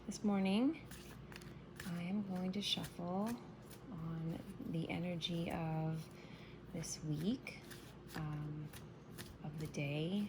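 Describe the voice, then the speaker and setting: Low-voiced woman, scratchy morning voice. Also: steady tone, slow pace, long pauses. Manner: chatty, meandering, soft-spoken. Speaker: tarot reader. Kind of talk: casual morning tarot reading.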